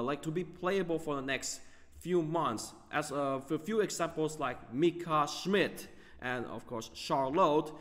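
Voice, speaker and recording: A man hosting a gaming video, giving off-the-cuff commentary in a halting way, often stumbling over his words. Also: a little high, fairly bright tone, long reverb tail.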